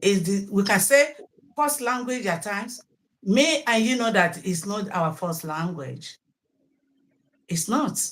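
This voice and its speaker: A woman's voice: medium pitch, lively intonation, slow pace. A middle-aged Nigerian woman, a native Yoruba speaker, talking at length as a teacher being interviewed, often repeating words and restarting. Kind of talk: interview answers.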